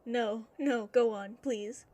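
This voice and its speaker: An actress playing a teasing, meddling workmate and friend in an audio drama. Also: female voice, fairly high pitch, steady tone, slow pace, mellow, no echo.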